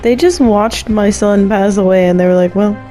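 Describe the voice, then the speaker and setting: A woman's voice: mid-range voice, normal speed, poor audio. A woman doing casual, joking gameplay commentary in a wry, playfully dramatic way. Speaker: game streamer. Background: soft game music.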